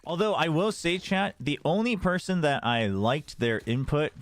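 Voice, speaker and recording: A man's voice: on the high side, animated, moderate pace. A man streaming a watch party, chatting casually with his viewers and laughing often. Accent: slight non-native accent in Korean. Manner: laughs often, chatty with chat, switches into Korean. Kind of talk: casual livestream chat.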